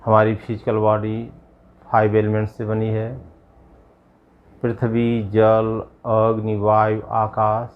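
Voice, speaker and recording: A man guiding a yoga nidra meditation as a yogi, giving measured instructions in short, spaced phrases. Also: lowish voice, completely flat delivery, narrow, tinny audio. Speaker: yogi leading guided meditation.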